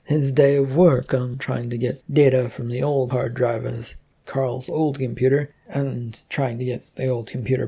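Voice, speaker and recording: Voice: mid-range voice, vocal fry, dark tone, husky. A narrator reading scripted fiction as a storyteller.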